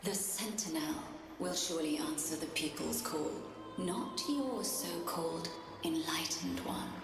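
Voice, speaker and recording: A woman voicing a solemn, ancient female spirit guardian in a video game, speaking in stately archaic phrasing with breathy, softly whispered endings. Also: deep voice, a little slow. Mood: grave, stern. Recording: cavernous reverb over music.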